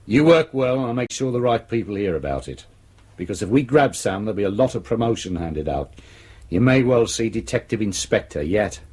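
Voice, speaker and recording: A man's voice: fairly low pitch, very animated, fairly quick, a little mellow, breathy edge. A man acting in a radio drama as a domineering senior police detective, brusque and authoritative. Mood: stern, threatening.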